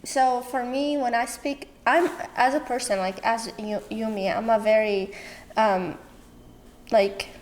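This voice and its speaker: Higher-voiced woman with a Syrian Arabic accent. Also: fairly even intonation, unhurried, darkish tone. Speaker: podcast guest. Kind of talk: casual personal interview conversation.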